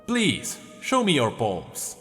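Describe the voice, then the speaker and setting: A man voicing a cunning one-armed old general with a cultured, measured manner, over faint music. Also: medium pitch, wildly swooping pitch, a bit bright, in a large hall, full character voice. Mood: silky menace.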